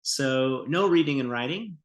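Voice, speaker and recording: A man's voice: medium pitch, expressive, a little slow. A man asking curious, friendly questions about a teaching program in a scripted interview. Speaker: prospective volunteer. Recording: roomy echo.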